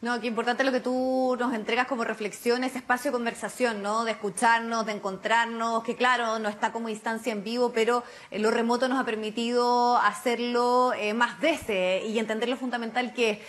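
A woman anchors a television interview over a remote link. Her voice sits a little high, and she frames her questions in a fluent, measured way. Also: level tone, fairly bright tone. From Chile. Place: indoor room.

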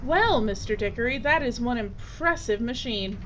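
Female actor as bossy documentary maker, businesslike, brisk, audio drama, faint noise, higher pitch. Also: animated, close to an everyday voice. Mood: probing. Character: shrewd, bossy female documentary film crew leader. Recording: dull, noisy audio.

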